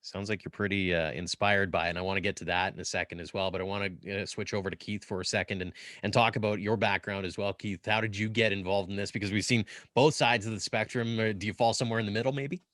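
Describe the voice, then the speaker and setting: A man's voice: on the low side, steady tone, fast, few pauses, fairly bright tone, dry recording, studio quality. A middle-aged man moderating a panel discussion on a video call, casual and guiding. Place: home office.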